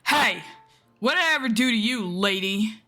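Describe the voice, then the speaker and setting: A woman's voice: a little high, animated, moderate pace, sharp tone, faint music behind, voice pushed up. A woman voicing a nervous, easily offended young male inkling in a story, hesitant and defensive. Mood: hurt, angry.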